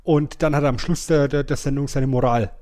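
A man's voice: medium pitch, fairly even intonation, brisk, darkish tone. A man co-hosting a podcast in casual, colloquial chat, repeating words for emphasis. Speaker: podcast co-host.

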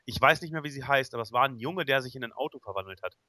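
A man's voice: medium pitch, expressive, rapid-fire, bright, forceful tone, no room sound. A man with a German accent in casual podcast conversation as a co-host. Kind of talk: casual nostalgic podcast chat.

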